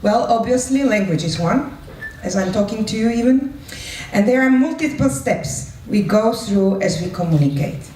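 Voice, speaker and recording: A woman's voice: fairly high pitch, expressive, moderate pace, warm tone. A woman presenting live as a moderator, explaining in a didactic way and checking in with short questions. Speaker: presenter and moderator of a participatory one-act play. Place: echoing room at a live event. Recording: roomy, distant mic with faint noise.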